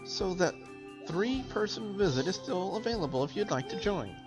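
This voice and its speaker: Man putting on a high, flirty lady doctor. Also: very animated, moderate pace, highs cut off, music in the background. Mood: seductive, playful. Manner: coy, breezy, teasing.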